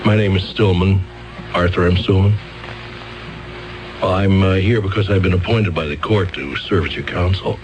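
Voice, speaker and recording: An actor playing a fumbling, wordy court-appointed lawyer who hesitates, stammers and clears his throat, in a 1970s radio drama. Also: low voice, steady tone, moderate pace, pauses often, music in the background, close to an everyday voice, low-quality recording.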